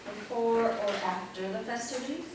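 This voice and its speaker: A woman's voice: a little high, moderate pace, close to an everyday voice. A woman playing an elegant, haughty society lady in a staged comedy reading, arch, formal and condescending. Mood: curious, poised. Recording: very echoey, distant mic, noisy background.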